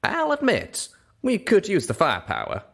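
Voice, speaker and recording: Male narrator as friendly pegasus cowhand, lifted pitch, folksy, conversational, loyal storybook sidekick. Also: wildly swooping pitch, normal speed, creaky, a bit bright, full character voice. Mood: cautious, conversational.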